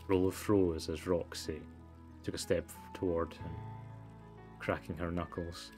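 Guy reading as a storybook narrator, really deep male voice, music under it. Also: flat delivery, a little slow, a little mellow. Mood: measured. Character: storybook narrator reading a small-town mystery tale. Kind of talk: scripted narration read aloud.

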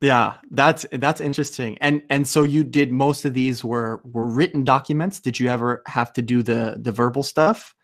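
A young man hosts a podcast conversation from a small room at home, speaking into a studio mic. His pitch sits in the middle of the usual range. He thinks aloud, doubling back on words and leaning on fillers as he goes. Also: fairly even intonation. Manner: thoughtful, halting with frequent restarts and fillers. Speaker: podcast host. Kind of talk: casual video-call interview. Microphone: studio mic with headphones.

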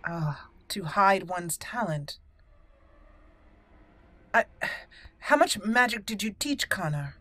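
A woman hosting a roleplay let's play, musing aloud and talking in character with deliberate, halting, dramatic emphasis. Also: medium pitch, lively intonation, a bit bright, no room sound. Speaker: gaming channel host, roleplayer.

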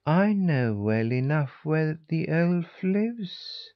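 Man voicing a soft, sly, high-pitched tomcat. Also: big pitch swings, muffled recording, exaggerated voice. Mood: coy refusal. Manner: soft-spoken, unhurried. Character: sly male house cat, smooth, quietly spiteful.

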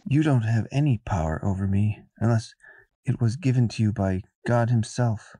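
Guy acting calm holy man held prisoner, lowish mellow voice, solemn, radio play. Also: husky, no echo. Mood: calm, resolute. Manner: measured, solemn. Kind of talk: amateur biblical radio drama acting.

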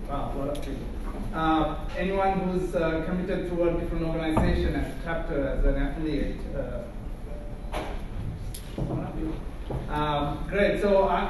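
A Sri man in his thirties presents to a packed lecture hall, his fairly high voice ringing around the room from a distant mic. He talks haltingly, stopping and restarting often, with a steady hiss under the sound. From Sri Lanka. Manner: halting, frequent hesitations and restarts. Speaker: nonprofit founder giving a presentation. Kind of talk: conference presentation. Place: large lecture hall with seated audience. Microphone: far camera, speaker standing at front of hall. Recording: very echoey lecture hall from far off, noisy.

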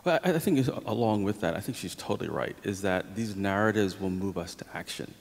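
A man with a low voice speaks earnestly on a panel, often pausing on um. The sound carries the long reverb of a large theater stage. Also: lively intonation, vocal fry. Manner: earnest, with many um fillers. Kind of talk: panel discussion.

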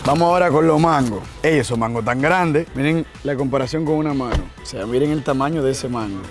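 A man's voice: mid-range voice, brisk, over music. A man, chatting playfully on a casual vlog, from a car and a home patio. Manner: jokey, playful, talks to camera. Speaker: vlogger host.

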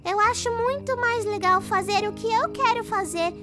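Very high-pitched little girl owlet. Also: moderate pace, over music. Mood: sulky, earnest. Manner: childlike, expressive. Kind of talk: children's cartoon acting.

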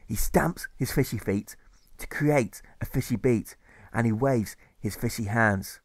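A man reads rhyming scripted narration for an audiobook. His voice is medium-pitched, his delivery measured and rhythmic, leaning on each rhyme. The recording is very dry. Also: no echo. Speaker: author reading his audiobook.

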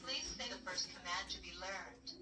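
A synthetic woman's voice giving formal, measured device prompts in short stock phrases. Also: mid-range voice, distant mic, loud music behind the voice. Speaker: voice assistant of a robot kit. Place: small device speaker in a meeting room. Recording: echoey small speaker, picked up from afar.

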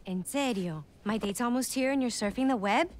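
A woman's voice: fairly high pitch, brisk, slight background noise, natural voice. A middle-aged woman playing an affectionate but exasperated mother in a video game, talking naturally.

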